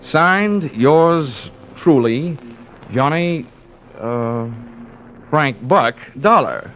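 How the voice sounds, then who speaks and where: A man's voice: on the high side, expressive, extremely slow, noisy background. An actor playing a wry, wisecracking American insurance investigator who narrates his case in an old radio drama. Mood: wry, breezy. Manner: wry, dry-humoured. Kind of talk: 1950s radio detective narration. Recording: lo-fi old radio broadcast, music behind.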